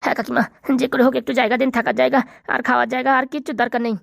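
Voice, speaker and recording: A woman's voice: high voice, little pitch movement, racing, gravelly, no echo, clean studio sound, full character voice. A woman voicing a poor, desperate young runaway man in a comedy cartoon, pleading meekly. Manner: pleading, meek.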